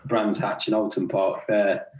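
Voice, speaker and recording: English-accented young man, low, echoey room. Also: unhurried, a crowd in the background. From Scotland. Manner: relaxed, chatty, laughs while telling stories. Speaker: podcast guest, racing rider. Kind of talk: casual interview chat.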